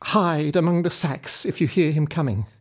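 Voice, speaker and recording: A man voicing a nervous old woman servant in a storybook reading, with a high fluttery tone. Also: normal speed, lightly acted. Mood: warning.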